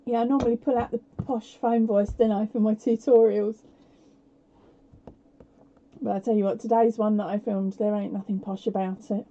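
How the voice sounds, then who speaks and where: A woman hosting a live prize quiz on her stream, chatty and self-deprecating with lots of fillers, her voice a little croaky. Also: medium pitch, steady tone, normal speed, dark tone, slight background noise. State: slightly croaky voice. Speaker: podcast host running a live prize quiz. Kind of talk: casual live-stream chat. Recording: dull lo-fi home stream audio.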